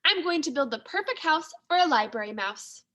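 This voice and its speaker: A woman reading a storybook as a timid, thoughtful male mouse, with earnest, expressive delivery. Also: high voice, brisk. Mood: keen. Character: timid, thoughtful male library mouse who craves coziness.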